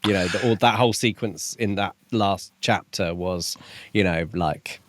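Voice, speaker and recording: A man's voice: low-pitched, unhurried, dry recording. A man co-hosting a book review podcast, recapping and discussing a novel. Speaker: podcast co-host.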